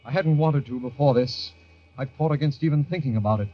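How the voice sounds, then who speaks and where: Man playing intense young lieutenant in old radio drama, mid-pitched, earnest, muffled recording. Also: fairly quick, close to an everyday voice. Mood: uneasy, reflective. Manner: intense, confiding first-person narration. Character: haunted, earnest young army lieutenant scientist recounting horror. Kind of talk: 1940s radio drama acting. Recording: dull vintage radio recording with music.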